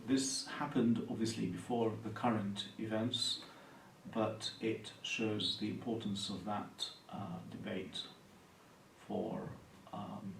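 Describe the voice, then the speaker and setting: A man from Palestine speaks in a low, gravelly voice, measured and thoughtful, often pausing on fillers. He is recorded in an echoey room from some distance, with faint hiss underneath. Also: little pitch movement. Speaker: university lecturer and Anglican priest. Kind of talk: interview answers.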